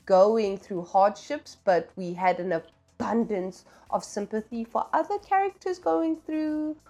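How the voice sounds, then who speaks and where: Candid, chatty woman over music. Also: medium pitch. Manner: candid, opinionated, slangy and emphatic. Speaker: book reviewer, vlog host. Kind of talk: casual, chatty book review vlog.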